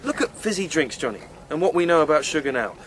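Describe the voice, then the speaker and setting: A man's voice: fairly high pitch, very animated, quick pace, fairly bright tone, a little hiss or hum, poor audio. A man with an English accent playing an earnest, ambitious farmer in a radio soap. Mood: earnest, passionate. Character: earnest, ambitious English farmer pitching his business ideas.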